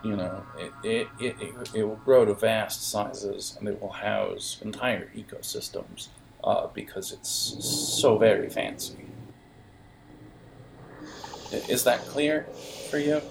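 Low, slow-paced man running a tabletop game. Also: vocal fry, a little hiss or hum, low-quality recording. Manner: halting, digressive. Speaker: game master. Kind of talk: improvised tabletop game narration.

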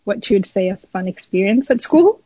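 A woman from Ethiopia, around her thirties, telling her personal story casually as a podcast guest, pausing often as she gathers her thoughts. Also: medium pitch, animated, warm tone, slightly rough, no room sound. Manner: hesitant, many pauses while gathering thoughts. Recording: slightly dull, muffled lo-fi audio.

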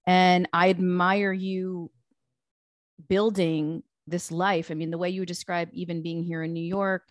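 A woman's voice: lowish voice, flat delivery, unhurried, dry recording, clean studio sound. A woman interviewing a guest, speaking with emphatic admiration. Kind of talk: interview conversation.

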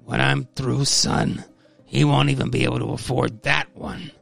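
A vindictive schemer, acted by a man in a radio play style comic reading. The voice is creaky and forceful, with faint music. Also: mid-range voice, little pitch movement, normal speed. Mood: scheming. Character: scheming vindictive man plotting a rival's ruin.

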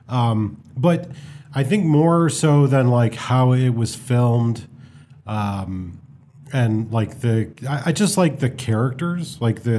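A man's voice: mid-range voice, warm tone. An American man in a casual film discussion as a co-host, explaining things in a hesitant, rambling way. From United States.

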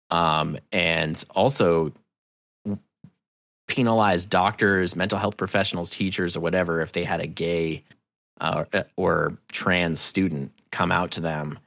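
Middle-aged man, low creaky voice, dry close sound, halting thoughtful podcast guest, from New England. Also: fairly bright tone, no room sound. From New England, United States. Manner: halting, thoughtful, self-correcting. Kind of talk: casual interview conversation.

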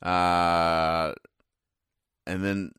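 A man with a deep voice gives casual commentary as a podcast host. He explains things with deadpan, mock-serious care, pausing and hesitating as he goes. The sound is dry and close, with little room in it. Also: clear, resonant tone, no room sound. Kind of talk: casual comic-strip recap commentary.

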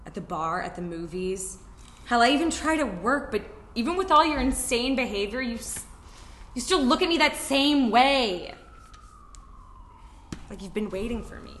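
The voice is an actress playing a wounded, frank young woman in an echoey room. She speaks emphatically in a stage play, halting on the words that carry the most feeling. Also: on the high side, expressive, fairly bright tone. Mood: exasperated. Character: wounded, frank twenty-four-year-old woman ending a romance. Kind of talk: live stage reading of a short play. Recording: echoey room at live reading.

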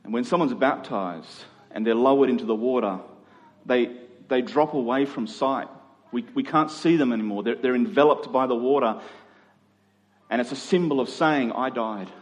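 A man's voice: mid-range voice, moderate pace. Male preacher in a reverberant hall. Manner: rhetorical questions, dramatic swings between emphatic and hushed. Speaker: pastor. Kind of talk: sermon to a congregation. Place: large reverberant church hall.

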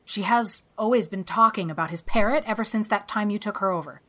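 A woman voicing a stern older woman who speaks briskly and sharply. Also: mid-range voice, a bit bright, lightly acted. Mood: worried.

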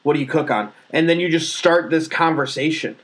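Higher-voiced man, halting casual talk. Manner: stammering restarts, relaxed. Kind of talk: casual chat.

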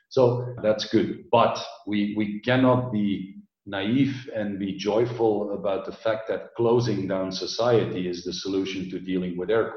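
Measured Belgian-raised man, echoey dull sound. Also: lowish voice, a little mellow. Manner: measured, explanatory, with fillers like "uh" and "huh". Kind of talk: interview answers.

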